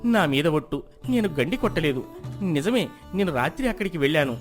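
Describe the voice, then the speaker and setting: A man's voice: a little high, sing-song, fairly quick. Man acting an accused farmer, pleading, over music. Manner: pleading, protesting. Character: accused, indebted male farmer, humble, defensive. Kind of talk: dramatized Telugu folk moral tale. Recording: music behind the voice.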